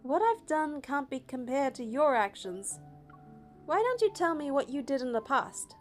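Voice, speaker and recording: A woman narrating a game playthrough, reading the story text aloud in a steady, even way. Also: sits high, normal speed, soft background music.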